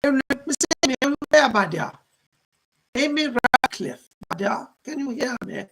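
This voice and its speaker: A man voicing a gentle, motherly female spirit guide in a trance séance, effusive and soothing, with genteel endearments and a husky, creaky voice. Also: very high voice, expressive, normal speed, heavy background noise, heavily acted. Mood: excited, welcoming.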